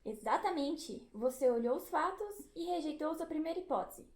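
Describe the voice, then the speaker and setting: A woman plays an upbeat science guide in an educational audio drama. Her voice is high and theatrical, explaining step by step in a roomy, echoey space. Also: lively intonation, moderate pace, darkish tone. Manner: didactic, theatrical.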